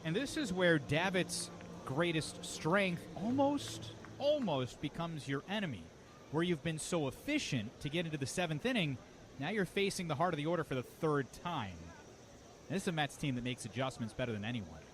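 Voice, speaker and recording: A man's voice: fairly high pitch, very animated, normal speed. A man calling a baseball game live on radio as the play-by-play announcer, describing the action in detail. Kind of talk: live radio sports commentary. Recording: clear outdoor ambient noise behind. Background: outdoor ballpark ambience.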